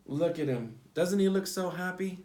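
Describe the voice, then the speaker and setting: A man with a slightly high voice reads a children's storybook aloud in a roomy, echoing space. He phrases things simply, like a teacher guiding young listeners through the pictures. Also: normal speed, darkish tone, slight background noise. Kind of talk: picture-book read-aloud with questions to children. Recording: echoey, roomy sound.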